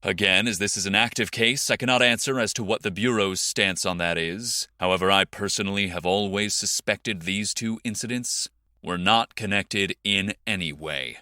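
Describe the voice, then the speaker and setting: A man playing a formal, measured FBI special agent giving a guarded interview in a fiction podcast. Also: fairly low pitch, bright, forceful tone, dry recording, clean studio sound. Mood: guarded, firm.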